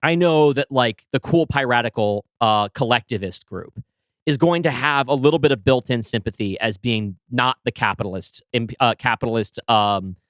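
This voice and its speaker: A man's voice: mid-range voice, moderate pace, fairly bright tone, no room sound. A man co-hosting a podcast, talking through his ideas in a plain mid-range voice. He circles back and restarts as he thinks aloud. The sound is close and dry, with no room around it. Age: adult, married. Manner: thoughtful, explains his creative choices with many restarts. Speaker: podcast co-host, writer of the story. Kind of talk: casual podcast discussion.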